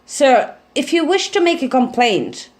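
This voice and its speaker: A woman's voice: high voice, very animated, unhurried, pitched above the performer's own voice. Woman playing a hesitant, indifferent pony clerk. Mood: indifferent, official. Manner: hesitant, bureaucratic, repetitive. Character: indifferent, slightly frightened pony government clerk.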